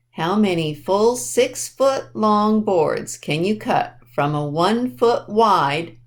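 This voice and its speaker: Slow-paced woman teaching children. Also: fairly low pitch. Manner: instructional, checks understanding with questions; deliberate, spells things out and repeats. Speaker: library storytime presenter. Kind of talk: simple educational talk to children with questions.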